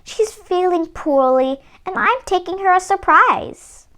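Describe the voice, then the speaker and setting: A woman's voice: extremely high pitch, animated, unhurried, fairly bright tone, voice pushed up, full character voice. A woman voicing a sweet, trusting little girl in a storybook, with a prim, innocent, singsong manner. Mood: polite, innocent. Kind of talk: storybook read-aloud character voice.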